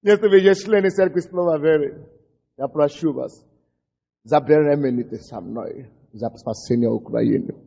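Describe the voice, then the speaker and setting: A man's voice: on the high side, slightly rough. Male pastor, English native speaker, fervent sermon; dull, echoey church-hall recording. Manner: impassioned, shouting prayers, stumbling over words. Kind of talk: fiery sermon.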